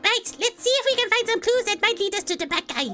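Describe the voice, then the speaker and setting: A perky teenage spirit medium from a courtroom game, teasing her friends. A woman gives her a high, sing-song lift. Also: expressive, quick pace, bright, forceful tone, faint music behind, exaggerated voice.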